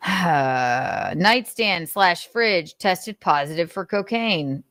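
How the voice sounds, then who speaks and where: A woman's voice: lowish voice, lively intonation, drawn-out words, a bit bright, no room sound. A woman host reading notes aloud and adding blunt, sarcastic commentary, swearing freely. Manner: sarcastic, mocking.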